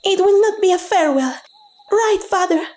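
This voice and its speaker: A woman's voice: very high-pitched, lively intonation, moderate pace, a little mellow. A woman voicing an anxious young daughter in a fantasy audiobook, with a pleading, questioning manner. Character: anxious young daughter of a mage-gifted family.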